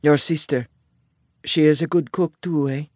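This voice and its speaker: A man voicing a curious, forthright young Italian immigrant woman in a storybook, with an Italian accent and halting broken English. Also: fairly high pitch, fairly even intonation, darkish tone, no echo, studio quality, voice pushed up.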